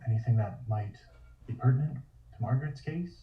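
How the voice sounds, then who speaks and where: A man's voice: medium pitch, normal speed, natural voice. A man playing a mild, hesitant police detective in a table read, speaking tentatively. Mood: tentative, probing. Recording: dull, echoey, distant from the mic.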